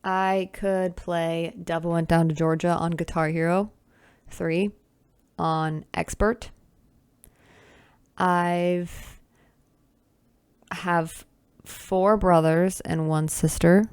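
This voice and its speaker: A young woman in her twenties chats through a solo podcast episode in a relaxed, self-teasing way. Her voice sits a little low and sounds smooth and clear. The recording is dry and close, with no room sound. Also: very slow, long pauses, no echo. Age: 20s. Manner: chatty and self-teasing, with sighs and asides. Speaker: podcast host. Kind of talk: casual solo podcast chat. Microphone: camera with close mic.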